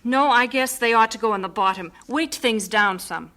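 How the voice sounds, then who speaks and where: A woman's voice: on the high side, moderate pace, sharp tone. An actress playing an earnest, heartfelt young woman missionary about to sail overseas in a vintage radio drama. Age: young adult.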